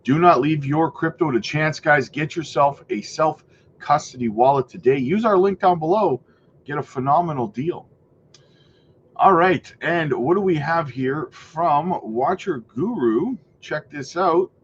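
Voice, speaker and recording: A man's voice: a little high, muffled recording, slight background noise. A man with a stuffy nose from a cold, hosting a crypto news channel in a casual, talkative way, reading articles aloud and breaking in with exasperated asides to "guys.". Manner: exasperated asides, frequent "guys", halting restarts.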